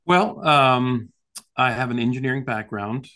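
A male interview guest explains things in a conversational way. He speaks at a medium pitch and feels his way haltingly through sentences, hesitating often. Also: bright, forceful tone. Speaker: guest, author.

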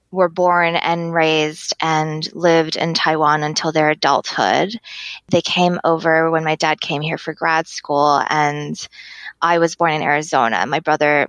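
Middle-aged American woman, interview guest, slightly low voice, conversational, very dry recording. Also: fairly bright tone, dry recording. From Massachusetts, United States. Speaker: interview guest, design gallery owner. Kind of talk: casual personal interview.

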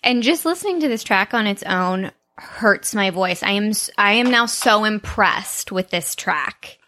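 A woman co-hosting a podcast in excitable, giggly casual chat. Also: mid-range voice, a little slow. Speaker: podcast co-host.

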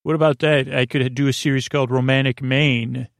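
A man hosting a bedtime podcast in a murmuring drone, meandering and halting as he stammers and repeats words. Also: medium pitch, flat delivery, creaky, no room sound, studio quality. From United States. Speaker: podcast host. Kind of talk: rambling bedtime podcast monologue.

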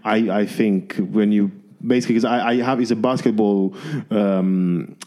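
A man's voice: lowish voice, fairly even intonation, normal speed, mellow. A man from Romania, a podcast guest, talking casually and hesitantly, with many restarts. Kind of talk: casual chat.

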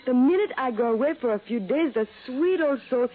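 Young wife: American, teasing, self-possessed, lilting widely, muffled vintage radio recording. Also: female voice, high-pitched, lively intonation, brisk. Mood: fondly resigned. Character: poised, teasing young wife, sweetly patronising. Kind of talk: 1960s radio drama acting.

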